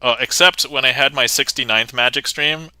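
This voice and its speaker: A man's voice: mid-range voice, steady tone, normal speed, pushed and bright, clean tone, dry recording. A man hosting a magic livestream, chatting casually to viewers with lots of fillers and restarts. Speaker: magician streamer.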